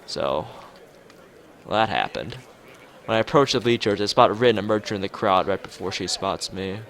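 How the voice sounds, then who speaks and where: A man reading a visual novel aloud as a let's player, casually, with halting, rambling asides. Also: fairly low pitch, fairly bright tone. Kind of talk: casual game narration with side commentary. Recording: music behind the voice. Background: game music.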